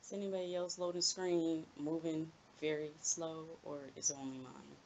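A woman's voice: deep voice, level tone, moderate pace, darkish tone, dull audio. A young woman gives casual reaction commentary as a gaming streamer, recorded at home with heavy noise.

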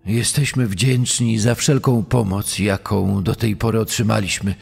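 A man's voice: lowish voice, steady tone, measured pace, gravelly, a little mellow, breathy edge, full character voice. A man playing a grieving father who pleads earnestly in a dramatised true-crime podcast. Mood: pleading, grieving. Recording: long reverb, music underneath.

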